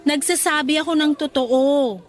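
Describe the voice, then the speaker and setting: A woman's voice: high-pitched, fairly even intonation, moderate pace, soft background music, close to an everyday voice. A woman playing a sweet, teasing young worker being courted in a Tagalog radio romance, with a coy, coaxing way of talking. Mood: sincere, coy.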